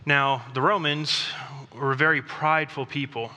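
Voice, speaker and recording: A man who grew up in Thailand, preaching a sermon in a conversational, self-deprecating way with pauses and restarts. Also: fairly high pitch, steady tone, pushed and bright. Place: church sanctuary. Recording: long reverb of a church hall.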